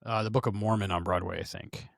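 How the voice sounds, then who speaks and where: A man's voice: fairly low pitch, fairly even intonation, fast talker, vocal fry, no echo, studio quality. A man co-hosting a podcast, rambling casually with lots of fillers and restarts. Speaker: podcast co-host.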